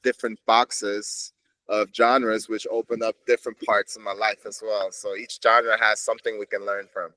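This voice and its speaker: A man's voice: lowish voice, flat delivery, moderate pace, bright, forceful tone, dry recording, poor audio. A man from Switzerland, a podcast guest reflecting in a meandering, casual way with frequent restarts. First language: Swiss German. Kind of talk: casual conversation.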